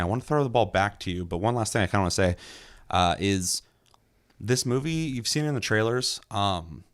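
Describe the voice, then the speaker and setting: A young man casually reviewing a movie in a relaxed, enthusiastic way, with lots of fillers and the odd curse. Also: sits low, clean tone. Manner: loose and enthusiastic, many fillers, occasional swearing. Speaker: movie reviewer, podcast host. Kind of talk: casual film review chat.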